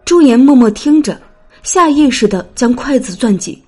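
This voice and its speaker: A woman narrates an audiobook in a scripted, dramatic storytelling style. Her voice is a little high, with faint music underneath. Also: animated, moderate pace, darkish tone, husky.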